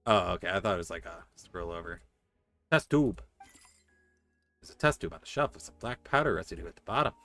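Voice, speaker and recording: A man's voice: low voice, lively intonation, a little fast, pauses often, dry recording. A man streaming a game, reading its text aloud and dropping in casual, joking commentary. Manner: joking asides between read passages. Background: soft game music.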